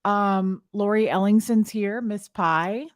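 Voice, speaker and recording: A woman's voice: mid-range voice, slow pace, no echo, clean studio sound. A woman, co-hosting a livestream, chatting playfully and greeting viewers. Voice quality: slightly raspy. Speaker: livestream co-host. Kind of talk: casual chat reading out viewer names.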